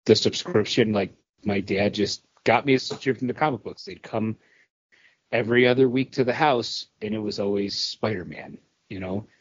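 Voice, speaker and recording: A man co-hosting a podcast, chatting casually in a halting, earnest, conversational way. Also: fairly low pitch, breathy edge, dull audio. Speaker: podcast co-host. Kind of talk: casual chat, personal reminiscing.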